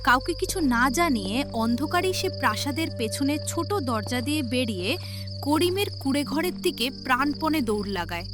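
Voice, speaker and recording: A woman's voice: sits high, lively intonation, normal speed. A woman narrator reading a scripted story in a dramatic storytelling style. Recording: loud music behind the voice.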